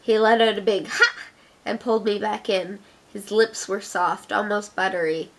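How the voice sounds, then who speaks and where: A woman's voice: mid-range voice, fairly even intonation, moderate pace, a bit bright. Young Canadian woman, Ottawa accent, mid-range voice, chatty and giggly vlogger reading aloud. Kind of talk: casual accent challenge, reading her own fiction aloud.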